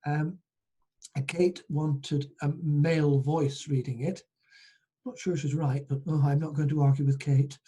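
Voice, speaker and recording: A man with a soft, mellow voice that has a husky grain to it, pitched a little high for a man. He hosts a reading, speaking informally and reading a story aloud. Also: steady tone, a little slow. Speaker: host and storyteller at a writers' group reading.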